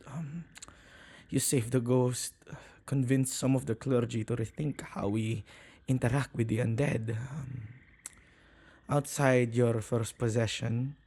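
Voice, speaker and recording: A man's voice: medium pitch, gravelly, darkish tone. A man playing a shy, awkward, kind-hearted witch who tends ghosts, speaking hesitantly in an ASMR roleplay. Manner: hesitant, halting, stammering. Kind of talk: ASMR boyfriend roleplay, acted monologue.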